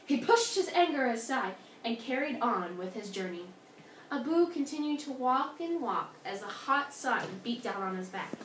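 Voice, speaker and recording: A woman tells a storybook folktale with a very lively, swooping delivery. She is expressive and emphatic. The recording is roomy, picked up some way from the mic, with faint noise. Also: a little high, moderate pace, distant mic. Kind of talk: dramatic storybook narration of a folktale.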